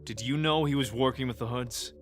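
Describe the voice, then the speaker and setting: A man's voice: medium pitch, fairly quick, soft background music, close to an everyday voice. A man playing a protective, stubborn police detective in an audio crime drama, with an intense, questioning manner. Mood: questioning, sceptical.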